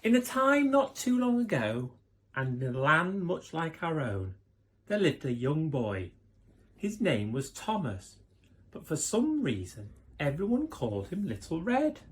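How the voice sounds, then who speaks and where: A man who works as a primary school teacher, reading a children's story expressively and addressing young listeners directly. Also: fairly high pitch.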